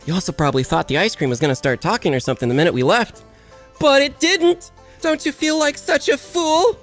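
A woman's voice: mid-range voice, a little fast, soft background music, natural voice. A woman voicing a mischievous, gloating female robot in a cartoon, teasing and exclamatory. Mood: gleeful. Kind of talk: animated comedy acting.

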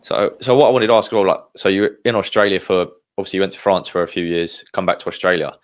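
A man hosting a casual interview, prompting his guest with friendly questions and quick affirmations. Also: sits low, little pitch movement. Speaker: podcast host.

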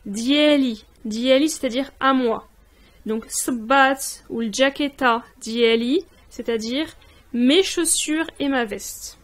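A woman giving a language lesson in a voice-over, saying each word slowly, repeating it and translating it. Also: high-pitched. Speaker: language teacher. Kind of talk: slow spoken language lesson. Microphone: voice-over on text slides.

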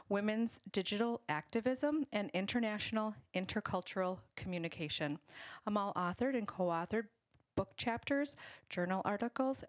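A woman hosting an event in a lecture hall, reading a speaker introduction into a handheld mic in an even, prepared way. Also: medium pitch. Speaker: event host. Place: lecture hall with seated audience.

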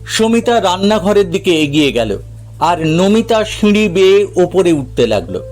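A man narrating a scripted dramatic story in a measured, literary storytelling manner. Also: sits high, big pitch swings, a little mellow, soft background music.

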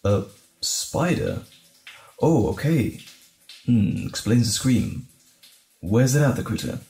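A man playing a gentle, caring boyfriend in an intimate audio roleplay, speaking soothingly and tenderly with light teasing and a halting delivery. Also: mid-range voice, sing-song, roomy sound. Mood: patient, puzzled. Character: gentle, caring boyfriend comforting frightened partner.

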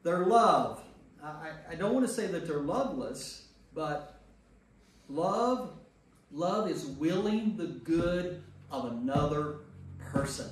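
A man's voice: a little high, animated, slow pace. A man speaking as a pastor leading an informal Bible study, conversational, repeating phrases for emphasis and checking in with listeners. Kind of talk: informal group teaching with questions to listeners. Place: echoey room with a small group. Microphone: distant room microphone. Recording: distant mic in echoey room, noise.

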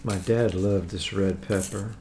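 A man in his seventies chatting casually to camera in short, halting phrases while he eats. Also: low-pitched, fairly even intonation, mellow. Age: 70s. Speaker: vlogger eating on camera. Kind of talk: casual mealtime chat to camera. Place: home dining room. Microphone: camera across the table. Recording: noisy room with cutlery clinks.

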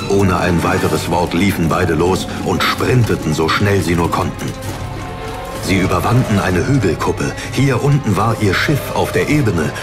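A male narrator with a low voice reads dramatic fantasy fiction. His phrasing is solemn and deliberate. Very loud music runs behind him. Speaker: audiobook narrator.

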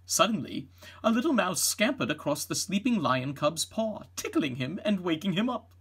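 A middle-aged man narrates a children's storybook. His voice is husky and animated, with expressive rises and falls. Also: high-pitched, normal speed. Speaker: read-aloud narrator.

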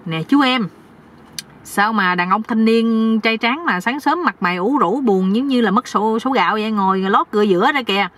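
A woman's voice: on the high side, moderate pace, a bit bright. A woman voicing a nosy, bragging older village uncle who scolds bluntly like a know-it-all in a comic story. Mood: teasing.

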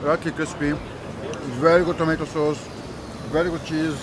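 A man's voice: fairly high pitch, little pitch movement, moderate pace. A middle-aged Lebanese man hosting a food vlog, talking animatedly and mixing Arabic with English, filmed on a phone at arm's length. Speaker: food vlogger. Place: city street outdoors. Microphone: handheld phone at arm's length. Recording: noisy street recording. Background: street traffic noise.

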